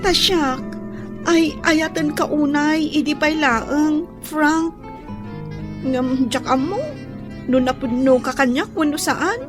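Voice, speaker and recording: A woman's voice: high voice, slow pace. A woman playing a humble young housemaid in love, with a hesitant, plaintive delivery in an Ilocano radio drama. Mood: tender, doubting. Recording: radio drama with music underneath.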